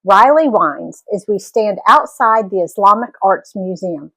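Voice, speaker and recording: A woman reading a story aloud as an expressive, animated narrator. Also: medium pitch, a little slow, rough recording. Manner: animated storytelling with acted dialogue.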